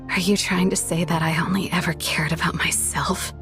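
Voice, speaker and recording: A woman voicing a proud, bitter turncoat in a video game, cool and wry, with music behind. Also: fairly low pitch. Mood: defensive, scornful. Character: proud, bitter female turncoat who left her clan. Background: background music.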